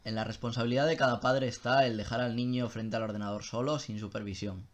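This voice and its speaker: A man's voice: medium pitch, fairly even intonation, quick pace. A young man chatting casually on a live stream, answering viewer questions in a loose style with swearing and self-interruptions.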